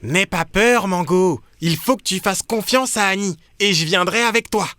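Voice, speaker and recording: A woman's voice: mid-range voice, moderate pace, a bit bright, a little hiss or hum, lightly acted. A woman voicing a loyal, playful young talking dog who likes joking in a children's cartoon. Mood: supportive.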